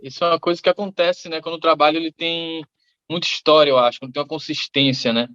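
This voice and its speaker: A man's voice: on the high side, fairly even intonation, fast, fairly bright tone, smooth, lo-fi sound. A man from Salvador, a musician answering interview questions in a reflective, rambling way full of fillers. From Salvador, Bahia, Brazil. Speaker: musician guest.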